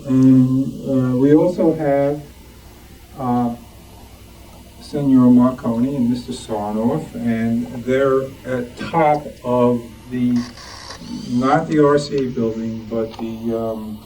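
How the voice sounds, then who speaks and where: A man's voice: medium pitch, steady tone, slow, warm tone. A man giving an informal show-and-tell talk to a club, hesitant and often searching for words. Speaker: club member presenting collection. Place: club meeting room with audience. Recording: distant muffled room mic, background noise.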